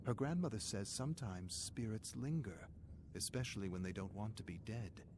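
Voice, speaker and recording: A man narrates a dramatic story in a fairly low voice. He delivers scripted prose as a storyteller, with heavy ambient noise behind him. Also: normal speed.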